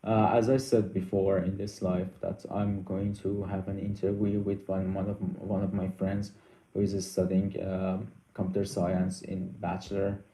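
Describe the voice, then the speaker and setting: A young man whose first language is Persian hosts a casual live Q&A. He reads out viewer questions and answers them haltingly, with many ums and restarts. His voice sits a little low and sounds mellow, and the room around him has a noticeable echo. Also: level tone.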